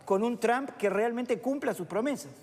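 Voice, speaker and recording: Brief: male panelist with a high pitch, direct and questioning, long studio reverb. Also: normal speed, a little mellow. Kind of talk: panel discussion.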